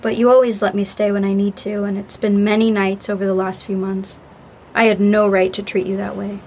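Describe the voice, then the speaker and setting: A woman's voice: medium pitch, little pitch movement, a little fast, darkish tone, slight background noise, close to an everyday voice. A woman playing a grieving young woman in an audio drama, apologetic and wryly hopeful, speaking casually and sincerely. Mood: reflective, sincere. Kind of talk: naturalistic audio drama acting.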